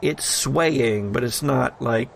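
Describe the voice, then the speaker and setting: A man acting as game master, deliberately narrating scenes and explaining rules for a tabletop roleplaying game. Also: mid-range voice, vocal fry, a little hiss or hum.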